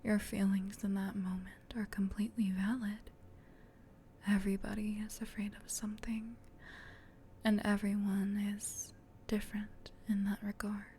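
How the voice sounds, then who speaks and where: Woman as a whispering, gentle comforting female demon. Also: mid-range voice, little pitch movement, a little hiss or hum, lightly acted. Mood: tender, soothing. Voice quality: soft, breathy whisper. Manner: tender, reassuring, unhurried. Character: gentle, comforting female sleep paralysis demon, caring and rambling. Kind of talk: whispered ASMR comfort roleplay.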